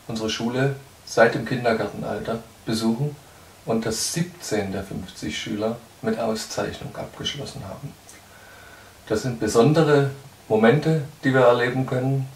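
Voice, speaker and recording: A man's voice: fairly low pitch, slight background noise. A man in his thirties giving a prepared news update to camera as a charity spokesman. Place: small indoor room. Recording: echoey room, distant microphone.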